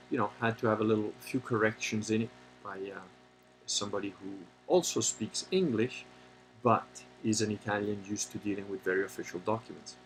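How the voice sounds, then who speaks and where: A man hosting a livestream, talking casually and answering chat questions in a rambling, halting way with many pauses, and sometimes turning blunt and opinionated. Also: fairly low pitch, vocal fry, a bit bright, a little hiss or hum, poor audio. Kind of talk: casual unscripted monologue.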